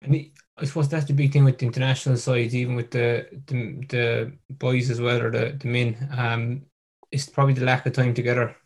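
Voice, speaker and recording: Brief: male interviewer, flat level delivery, hesitant and stumbling phrasing. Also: medium pitch, a little fast, breathy edge. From Ireland. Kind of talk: casual interview.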